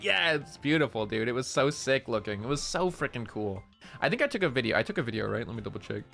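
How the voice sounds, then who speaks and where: A young man with a nasal voice, chatting loudly and excitably on a casual stream, full of slang. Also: on the low side, creaky.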